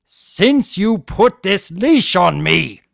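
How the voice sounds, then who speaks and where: An urbane spirit of chaos from a fantasy story, acted by a man, swinging widely in pitch. He is sullen and volatile, drawing words out slowly with theatrical emphasis. The sound is dry and close. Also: very animated, gravelly, fairly bright tone, dry recording, full character voice. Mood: enraged. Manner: theatrical, drawn-out, emphatic delivery. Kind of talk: dramatic fan fiction reading.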